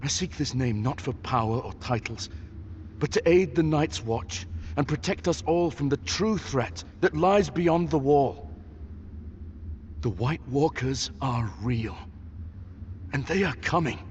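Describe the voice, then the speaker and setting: An actor playing an earnest, grave and blunt young-realm noble in a fantasy game. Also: male voice, medium pitch, measured pace, darkish tone, muffled recording, slight background noise, lightly acted. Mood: urgent, earnest. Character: earnest, blunt northern male noble of the Night's Watch. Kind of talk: dramatic fantasy game acting.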